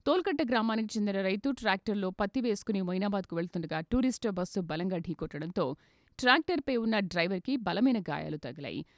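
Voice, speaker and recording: A woman delivers scripted news in a formal register. She moves quickly through each item at a medium pitch. The sound is very dry, with no room echo. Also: expressive. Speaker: news reader. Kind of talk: scripted news bulletin narration.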